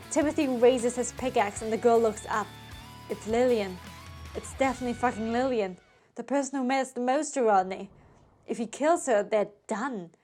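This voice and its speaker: A woman with a fairly high voice narrates a scripted story. Her delivery is theatrical and full of suspense, set over background music.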